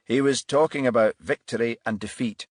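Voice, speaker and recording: Man playing a calm, affable detective inspector. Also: medium pitch, normal speed, no echo, clean studio sound, lightly acted. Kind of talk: audiobook character voice.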